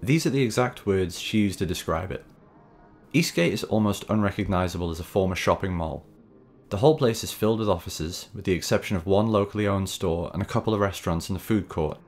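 A man hosting a video essay, reading scripted narration in a measured, wry, conversational way. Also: low voice, slight background noise. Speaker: host and narrator of a video series. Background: background music.

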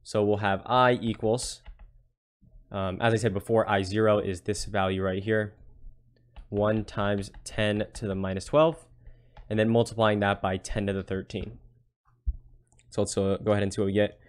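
A man with a fairly low voice works through a problem as a tutor. He explains casually, one step after another, and regularly tags his points with a quick check for understanding. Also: level tone. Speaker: physics tutor.

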